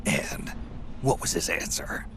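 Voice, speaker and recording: An actor playing a gruff, laconic veteran male soldier in a video game cutscene, answering in terse, dry lines. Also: takes it slowly, lightly acted. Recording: game audio with clear background noise.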